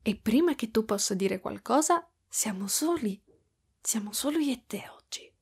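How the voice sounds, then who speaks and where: A woman voicing a doting, clingy motherly spider-woman in an ASMR roleplay, speaking softly and affectionately with whispery stretches. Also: on the high side, animated, moderate pace, heavily acted. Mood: doting, excited. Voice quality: soft, breathy with whispery stretches. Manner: cooing, affectionate, giggly, pet names.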